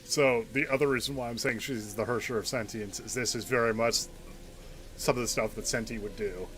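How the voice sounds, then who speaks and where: Male game streamer: average pitch, casual analytical commentary with thinking pauses, slight background noise. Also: fairly even intonation, fairly bright tone.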